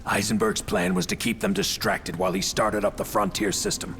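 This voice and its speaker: A burdened, remorseful soldier in a video game. The voice is fairly low with heavy creak, serious and sincere, over clear background music. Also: male voice, big pitch swings, brisk, fairly bright tone, exaggerated voice. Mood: grave. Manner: earnest, serious. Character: earnest, remorseful male soldier, burdened and subdued. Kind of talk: scripted video game acting.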